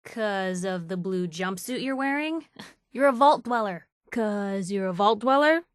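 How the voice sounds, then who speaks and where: A woman's voice: mid-range voice, lively intonation, unhurried, dry recording, studio quality, close to an everyday voice. A woman playing a spirited, nosy young newspaper reporter in a video game, speaking conversationally in a wry, inquisitive, punchy way. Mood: curious, wry.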